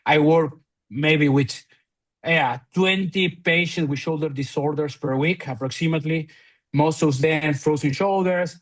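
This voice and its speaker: Argentine man in halting English. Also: on the high side. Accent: Argentine Spanish. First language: Spanish. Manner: halting non-native English with frequent fillers and restarts. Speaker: physiotherapist and researcher guest. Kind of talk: conversational podcast interview.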